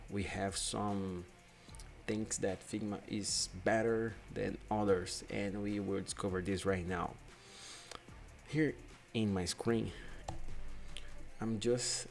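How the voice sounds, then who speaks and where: Slow, low Brazilian man with gravelly voice. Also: darkish tone. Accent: Brazilian Portuguese. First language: Portuguese. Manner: hesitant, repeats and restarts phrases. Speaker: UX/UI designer and channel host. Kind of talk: screen-share software tutorial.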